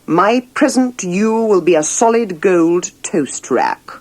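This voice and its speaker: A woman's voice: fairly low pitch, expressive, takes it slowly. A woman voicing a stern, hot-tempered storybook king, commanding and formal. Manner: commanding, emphatic, formal proclamations.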